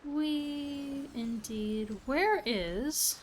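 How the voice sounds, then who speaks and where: A woman's voice: high-pitched, drawn-out words. A woman doing casual, playful live game commentary, musing aloud to viewers as she plays. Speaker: game streamer.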